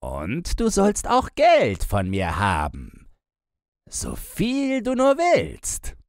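A man's voice: on the high side, wildly swooping pitch, very slow, dry recording, clean studio sound, pitched above the performer's own voice, exaggerated voice. A man voicing a sly devil disguised as a big huntsman in a fairy-tale audiobook, taunting and tempting.

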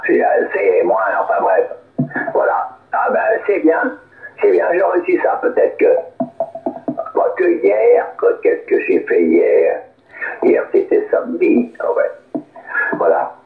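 A man chatting casually over a radio link as an amateur operator, rambling and halting. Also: on the high side, wildly swooping pitch, vocal fry, faint music behind. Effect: radio transmission. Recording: phone-like radio signal, echoey.

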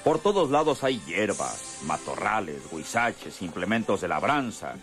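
A man's voice: on the low side, very animated, unhurried, a bit bright, soft background music. A man giving dramatic scripted narration for a radio serial.

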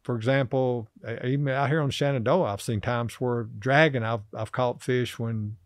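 A middle-aged man, a podcast guest talking casually and haltingly about fishing, full of fillers and restarts. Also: medium pitch, steady tone, dry recording, clean studio sound. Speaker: podcast guest, river angler. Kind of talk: casual interview chat. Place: small office studio, indoors. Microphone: desk podcast mic on boom arm.

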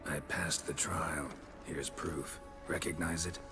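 An actor playing a stoic, hardened middle-aged monster hunter in a fantasy game, terse and restrained, with a low, gruff voice in a reverberant space. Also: male voice, steady tone, takes it slowly, over music. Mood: grim, resolute. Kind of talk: cinematic game acting.

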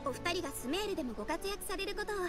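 A woman's voice: very high voice, lively intonation. A woman voicing a composed young guild receptionist in an anime game, speaking formally and politely. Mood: helpful, composed. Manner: formal, courteous, measured. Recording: game music behind the voice.

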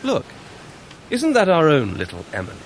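An actor playing a breezy, proud young gentleman with an airy, careless manner in a radio drama. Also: on the high side, huge pitch swings, moderate pace. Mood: breezy, amused. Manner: airy, dismissive, laughing. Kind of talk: 1990s radio drama acting. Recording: clear background ambience noise.

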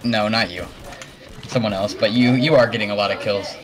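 A man's voice: fairly low pitch, fairly quick, crowd noise, low-quality recording. A teenage boy hosting a casual gameplay video, rambling and self-deprecating, laughing often. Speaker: gaming channel host.

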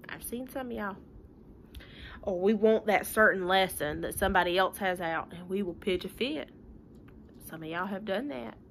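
A young woman talks like a teacher to small children, plain-spoken and folksy. Her voice is bright and forward, at a middling pitch. It is recorded at home on a device mic with slight background noise. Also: moderate pace, vocal fry. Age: young adult. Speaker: schoolteacher. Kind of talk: informal talk to young pupils and parents. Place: living room at home.

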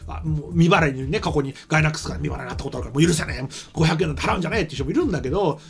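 A man's voice: fairly high pitch, very fast, creaky. A middle-aged man hosting a casual talk show, thinking aloud in a rambling, opinionated way into a desk microphone. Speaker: talk show host and anime and game writer. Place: small indoor studio set.

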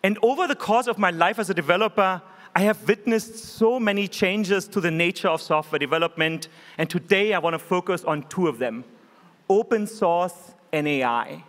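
A man in his forties with a German accent, giving an earnest, measured keynote speech from a conference stage podium microphone. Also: sits high, fairly bright tone. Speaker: keynote speaker. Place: large conference hall stage. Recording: hall reverb.

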